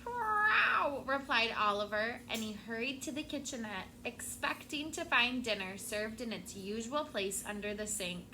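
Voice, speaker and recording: A woman teacher reads a picture book aloud to her class in an expressive voice, pausing to prompt the children. Her tone is bright and sharp, pitched a little high. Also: a little hiss or hum, rough recording.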